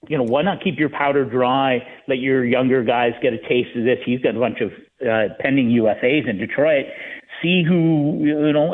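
A male hockey analyst talking on sports radio in a halting, conversational way, restarting phrases as he thinks aloud. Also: mid-range voice. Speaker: sports writer, guest analyst. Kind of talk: hockey talk radio discussion. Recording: thin, phone-like line.

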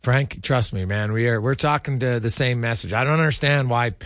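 A man's voice: mid-range voice, brisk, a bit bright, no room sound, studio quality. A man hosting sports talk radio, asking questions in a conversational interviewing style.

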